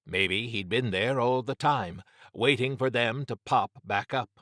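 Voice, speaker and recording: A man's voice: mid-range voice, pushed and bright, dry recording, studio quality. A man narrating a scripted horror adventure as an audiobook reader.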